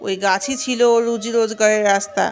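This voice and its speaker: A woman voicing a stern, all-knowing motherly ghost chieftain in a cartoon, slow and commanding. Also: mid-range voice, little pitch movement, soft background music, pitched below the performer's own voice, natural voice. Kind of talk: children's cartoon voice acting.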